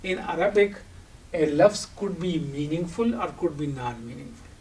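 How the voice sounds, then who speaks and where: A man's voice: a little high, lively intonation, normal speed, a little mellow. A man with a Pakistani accent and Urdu as his first language, giving an instructional lecture in an explanatory way, posing questions and answering them. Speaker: teacher. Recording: distant microphone, audible background noise.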